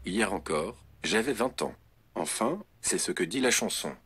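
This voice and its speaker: A male computer voice assistant giving evasive, witty canned replies in a smooth synthetic voice. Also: fairly low pitch, expressive, unhurried, fairly bright tone, no echo. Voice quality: smooth synthetic text-to-speech voice. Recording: noisy phone speaker.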